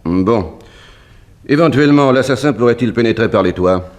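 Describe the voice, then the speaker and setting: An actor playing a brisk, methodical police commissioner in a vintage French radio drama, speaking in an official manner. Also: male voice, mid-range voice, lively intonation, a little mellow, lightly acted. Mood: businesslike.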